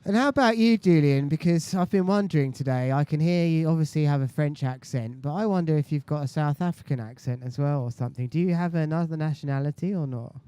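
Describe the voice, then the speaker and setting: A man's voice: fairly high pitch, quick pace, hardly any pauses, warm tone, clean tone, dry recording. A man commentating on a live sports stream in a chatty, conversational way. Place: indoor live stream booth by a beach.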